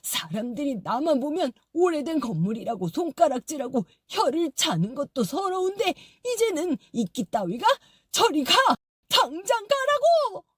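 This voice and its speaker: A woman voicing a grumpy, resentful old building that scolds bitterly and shouts. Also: sits high, very animated, unhurried, dry recording, clean studio sound, pitched above the performer's own voice. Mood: angry.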